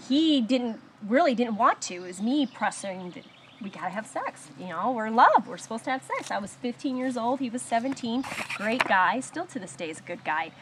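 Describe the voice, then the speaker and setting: A woman sharing her own story of surviving abuse in a support circle, talking in a halting, reflective way with pauses and restarts, and slowing and softening on painful memories. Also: mid-range voice, animated, noisy background. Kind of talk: personal testimony in a support circle.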